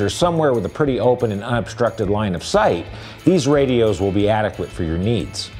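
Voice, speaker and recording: A man's voice: fairly low pitch, a little mellow. A man giving a scripted explainer voice-over about handheld radios as a store's product presenter.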